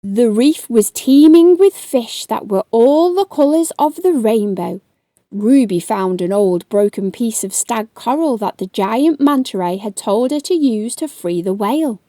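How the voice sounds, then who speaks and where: A woman reading a story aloud to children, hosting in an animated, expressive way and speaking directly to her young listeners. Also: on the high side, measured pace, no echo, studio quality. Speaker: children's story reader and host.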